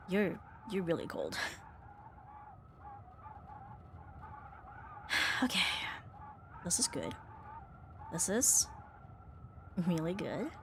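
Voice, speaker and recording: Young tomboy roommate played by a woman for ASMR romance: fond, flustered, teasing and halting, with very mobile intonation over light ambient noise. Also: fairly low pitch. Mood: tender. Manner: hesitant, teasing, breathy sighs and nervous laughs. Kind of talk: intimate ASMR romance roleplay.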